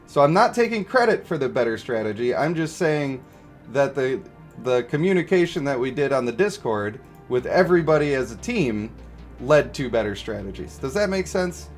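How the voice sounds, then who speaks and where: A man's voice: mid-range voice, sing-song, moderate pace. A man hosting a game livestream, chatting casually and explaining his views to viewers in a conversational way. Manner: conversational, explanatory, checks for agreement. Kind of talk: casual livestream chat, reading and answering viewer comments. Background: music.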